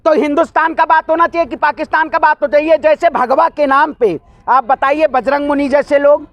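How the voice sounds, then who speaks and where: A man speaks in a very high voice, recorded dry. He is combative and emphatic, delivering a heated political rant as an interviewee and repeatedly challenging the listener with rhetorical questions. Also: fairly even intonation, a little fast, no room sound, muffled recording.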